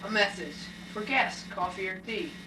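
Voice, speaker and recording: A woman's voice: medium pitch, moderate pace, lightly acted. Woman playing a kindly secretary, echoey and distant. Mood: cheerful. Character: kindly woman school secretary, cheerful, gentle. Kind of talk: scripted puppet show reading. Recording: roomy, far from mic, noisy.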